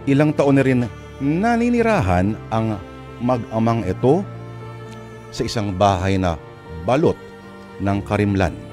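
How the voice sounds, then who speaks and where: A man with a Filipino accent hosting and narrating a radio drama, phrasing deliberately with dramatic pauses. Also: fairly low pitch, very animated, a little mellow. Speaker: host and narrator. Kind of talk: dramatic radio storytelling introduction. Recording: music under the voice.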